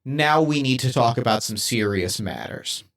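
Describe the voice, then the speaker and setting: A man's voice: medium pitch, expressive, fairly bright tone. A man voicing an earnest male assassin robot in a tabletop game, speaking formally and carefully with a lot of hesitation. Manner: formal, careful, halting speech.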